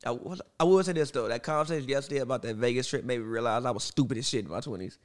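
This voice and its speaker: 30 yr old dude talking fast on a podcast, slangy and emphatic. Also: male voice, mid-range voice. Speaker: podcast co-host. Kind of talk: casual banter.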